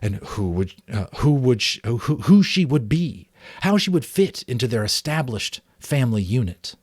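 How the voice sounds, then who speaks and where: A man's voice: medium pitch, big pitch swings, normal speed, a little mellow, breathy edge, no room sound, clean studio sound. A man reading a story aloud in an informal, dramatic way, sometimes stumbling and correcting himself. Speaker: amateur audiobook reader.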